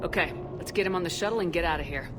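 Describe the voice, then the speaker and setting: A woman's voice: fairly low pitch, very fast, heavy background noise, lightly acted. A woman playing a resolute space military commander in a video game, direct and authoritative. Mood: firm. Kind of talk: video game cutscene acting. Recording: loud music behind the voice.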